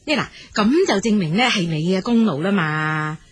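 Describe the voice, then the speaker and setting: A woman's voice: medium pitch, lively intonation, normal speed, breathy edge. An actress playing a proud, teasing, nagging older mother-in-law in a radio drama, exclaiming in Hong Kong Cantonese. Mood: proud, needling. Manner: exclamatory, needling.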